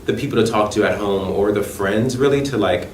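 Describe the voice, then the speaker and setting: A man's voice: on the low side, fairly quick, a little mellow. A young man from North Carolina, reflective and full of "like" fillers, answering documentary interview questions. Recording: roomy, distant mic, faint noise.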